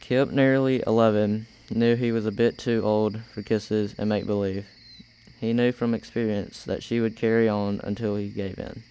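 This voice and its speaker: Low, even-voiced young male narrator. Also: moderate pace, clean tone, low-quality recording. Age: teenager or young adult. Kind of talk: scripted story narration.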